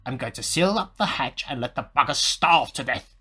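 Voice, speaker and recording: A man's voice: medium pitch, lively intonation, moderate pace, very noisy, close to an everyday voice. A man playing an agitated, paranoid amateur scientist in a radio play diary, with melodramatic delivery. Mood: grimly scheming. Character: paranoid male amateur scientist at war with hamsters.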